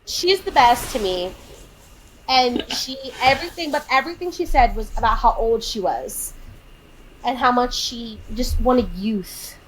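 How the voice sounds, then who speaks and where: A thirty-year-old woman co-hosting a podcast, recapping a film in casual chat, animated and exasperated as she acts out quotes. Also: a little high, measured pace, slight background noise, poor audio. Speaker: podcast co-host.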